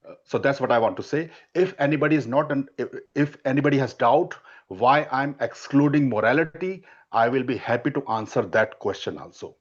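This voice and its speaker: A man with an Indian accent, a native Hindi speaker, makes his case in an online debate. He stops and restarts often, filling gaps with uh. His voice comes through a dull, lo-fi mic. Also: medium pitch. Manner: halting, many restarts and fillers. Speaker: dating coach.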